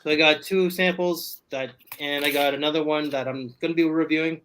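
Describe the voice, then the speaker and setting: A man talking loudly from his apartment as a guest on a casual video call, rambling with many restarts. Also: a little high, steady tone, a little fast, slight background noise. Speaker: podcast guest. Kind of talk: casual livestream chat. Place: home apartment.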